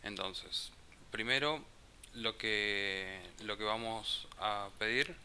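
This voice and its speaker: Low, bright-toned male teacher. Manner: slow, halting, thinks aloud with restarts. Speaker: programming teacher. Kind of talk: live coding class explanation. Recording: faint hiss.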